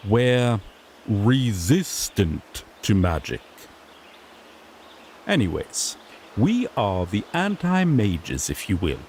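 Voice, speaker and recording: Male actor as a griffon knight, fantasy audio drama, sassy rookie lawman, formal, fussy, very animated intonation, unhurried pace, mildly exasperated. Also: fairly low pitch, a little hiss or hum, heavily acted. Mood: proud, explanatory.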